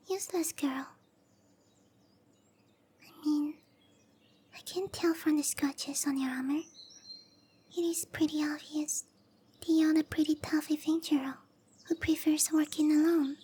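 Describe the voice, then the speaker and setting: Casting: petite young cowgirl mage for fantasy roleplay ASMR; very high-pitched, unhurried, hesitant, bashful, eager to prove herself. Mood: dejected. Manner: bashful, hesitant, breathy murmurs between bright chatter. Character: tiny young cowgirl monster girl, shy eager support mage. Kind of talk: roleplay ASMR, anime-style character acting.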